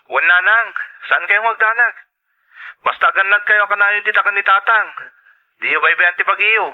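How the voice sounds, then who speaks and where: Man acting as devoted young son, Ilocano accent, earnest, sentimental, slightly high voice, radio drama. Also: normal speed, phone-quality sound, lightly acted. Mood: reassuring.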